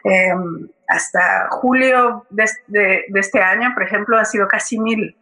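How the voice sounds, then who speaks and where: A woman speaks as a guest in a conversational interview. Her voice is bright and forward, at a middling pitch. She thinks aloud, hesitating and often tagging her points with a check for agreement. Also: a little slow, low-quality recording. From Colombia. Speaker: guest playwright.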